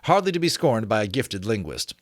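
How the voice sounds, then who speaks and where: A man with a low voice reads books aloud as the host, moving between dramatic narration and casual remarks. His pitch travels widely, and the recording is close and dry. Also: very animated, brisk, no room sound. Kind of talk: dramatic book reading with casual asides.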